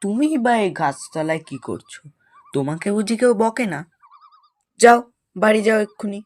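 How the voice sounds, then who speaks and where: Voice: mid-range voice, expressive, normal speed, lightly acted. A performer voicing a cheeky, fearless young schoolboy in a cartoon, exclamatory and plaintive in manner. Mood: defiant. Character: cheeky fearless schoolboy, reluctant pupil, little brother. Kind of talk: Bengali cartoon voice acting.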